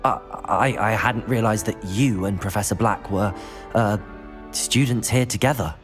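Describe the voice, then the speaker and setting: A man's voice: lowish voice, a little slow, soft background music, close to an everyday voice. An actor playing a polite, curious young male wizard student in a video game, with an earnest manner. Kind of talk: video game character acting.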